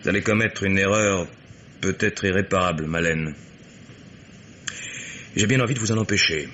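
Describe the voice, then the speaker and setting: A blunt private detective in a radio mystery. His voice sits low and has a hard, sharp edge. He presses his points with sardonic exasperation, over a faintly hissy recording. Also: moderate pace, muffled recording. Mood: grave, resigned. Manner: argumentative, sardonic, insistent. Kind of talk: French radio mystery play acting.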